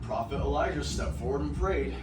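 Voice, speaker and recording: A man's voice: medium pitch, expressive, a little fast. A young man giving a theatrical, emphatic narration of scripture, projecting his voice as in a drama. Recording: echoey room from far off, noisy.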